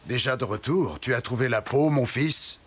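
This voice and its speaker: A man playing a calm, wise and kindly father with a husky voice in a French cartoon. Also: mid-range voice, normal speed. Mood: calm, encouraging.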